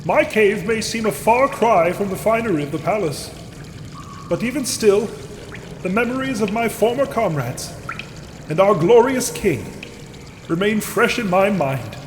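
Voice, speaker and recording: A man's voice: high-pitched, expressive, measured pace. A man voicing a courteous, nostalgic knight creature from a video game, speaking in a lofty, formal, wistful way. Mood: wistful, admiring. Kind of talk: video game character voice acting. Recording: echoing cave reverb, dripping water noise.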